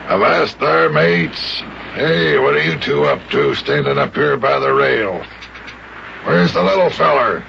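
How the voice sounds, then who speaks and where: A man playing a gruff, salty old ship's captain with nautical pirate-style talk in an old children's radio serial. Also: medium pitch, big pitch swings, a little slow, pushed and bright, full character voice. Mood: gruff, hearty. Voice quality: gravelly. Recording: muffled, noisy old radio recording.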